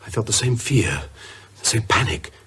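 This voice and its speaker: A troubled English professor in a radio horror play. His voice is husky and lively in pitch, halting and anxious, as if he fears for his own mind. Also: heavily acted. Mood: haunted, tense. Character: anxious, haunted male professor, fearing for his sanity. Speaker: actor. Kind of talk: British radio horror drama acting.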